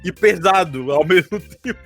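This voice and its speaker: Higher-voiced Brazilian man, joking podcast chat. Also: animated, a bit bright, soft background music. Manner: joking, quick to laugh. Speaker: game master of a tabletop RPG campaign, podcast member. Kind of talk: casual podcast chat recapping a tabletop game.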